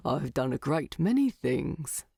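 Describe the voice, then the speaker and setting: A proud dwarf king, played by a woman narrator, dry, brooding and tired of ruling. The delivery is unhurried with big swings in pitch, in a close, dry storybook recording. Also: sing-song, vocal fry, dark tone, husky, no echo, heavily acted.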